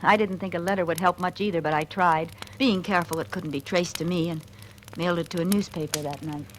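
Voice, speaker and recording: A woman's voice: lowish voice, fairly even intonation, fast, slight background noise, natural voice. An actress playing a jittery petty crook who narrates her fear of a killer in 1940s radio thriller style. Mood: uneasy, frustrated. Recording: vintage radio recording with music.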